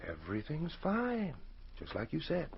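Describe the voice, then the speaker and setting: A man's voice: a little high, wildly swooping pitch, moderate pace, vocal fry, slightly rough, heavily acted. A man acting a hard, distrustful ex-convict in a 1940s radio drama, insistent and menacing, on a muffled old recording. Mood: wary, satisfied. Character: hard, distrustful ex-convict pressing a blackmail demand.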